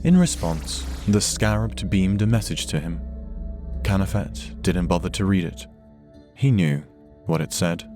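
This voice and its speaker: A man narrating a dramatic science fiction story from a script in a measured, solemn way. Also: sits low, lively intonation. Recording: music behind the narration.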